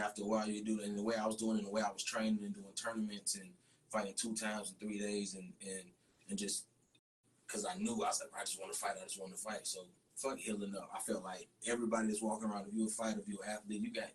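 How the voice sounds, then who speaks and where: A man's voice: on the low side, flat delivery, fast talker. Blunt American man, distant noisy mic. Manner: slangy, profane, blunt. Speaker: MMA fighter, podcast guest. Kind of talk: casual chat.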